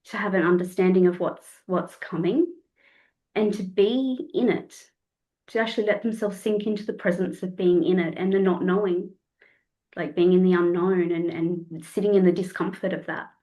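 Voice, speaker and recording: A woman in her forties with a slightly croaky voice, reflecting as a guest in a casual podcast conversation. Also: on the low side, fairly even intonation, moderate pace, highs cut off. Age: 40s. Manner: reflective, searching for words, repeats phrases. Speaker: guest, counsellor and somatic sexologist.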